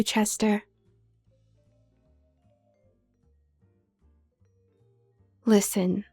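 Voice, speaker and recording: A woman playing a haughty, manipulative younger werewolf sister from a noble family in an audio drama, speaking with condescending, needling smoothness. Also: mid-range voice, mellow. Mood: cold, taunting. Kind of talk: scripted audio roleplay drama.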